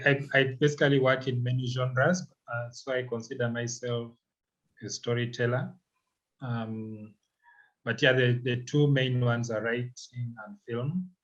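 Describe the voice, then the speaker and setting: A man introducing himself conversationally on an online panel, halting and restarting his phrases. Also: mid-range voice, lo-fi sound. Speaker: writer and filmmaker, panelist.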